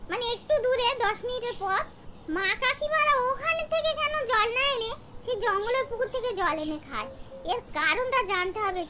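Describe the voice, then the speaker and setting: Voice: very high voice, sharp tone, heavily acted. A performer voicing a curious, stubborn young village boy in a cartoon, asking insistent questions over a noisy recording. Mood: eager, secretive. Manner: inquisitive, insistent. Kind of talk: cartoon horror story dialogue.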